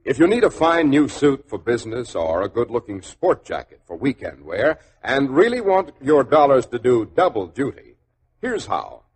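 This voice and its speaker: A man's voice: medium pitch, big pitch swings, moderate pace, slightly rough. An American man announcing and reading advertisements in a polished, emphatic 1940s radio style. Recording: noisy old 1940s radio recording.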